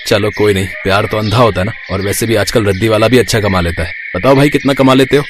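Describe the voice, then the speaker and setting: A man playing a caring, teasing young elder brother in a dramatized family story, talking in an expressive, familiar way. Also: lowish voice, quick pace, background noise, close to an everyday voice. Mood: playful, teasing.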